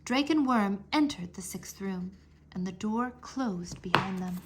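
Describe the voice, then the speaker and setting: Woman, storybook narrator, medium pitch, expressive phrasing, read-aloud for children. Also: unhurried, very noisy.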